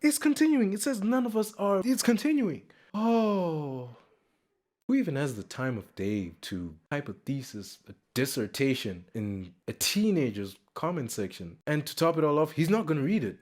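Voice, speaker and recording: A man hosting a reaction video with casual spoken commentary, conversational and expressive, repeating phrases for emphasis. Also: a little high, normal speed.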